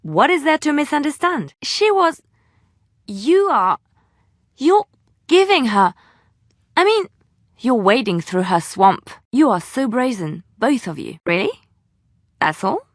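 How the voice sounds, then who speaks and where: A woman playing a romantic, earnest young bard in a video game, speaking with expressive, lilting phrasing. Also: high-pitched, slow, pauses often, no echo, studio quality, heavily acted. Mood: flustered, accusing. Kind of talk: video game character dialogue and storytelling.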